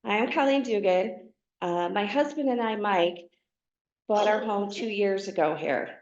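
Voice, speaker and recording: A woman in her fifties giving a soft-spoken, halting opening statement as a board candidate at a meeting. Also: medium pitch. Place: meeting hall. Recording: roomy, dull meeting recording.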